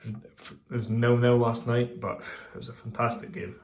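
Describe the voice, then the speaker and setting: A Scottish man chatting casually in a video review, rambling and hesitant with many pauses and ums. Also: medium pitch, level tone, darkish tone. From Scotland. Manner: pauses while lighting a pipe. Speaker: pipe tobacco reviewer.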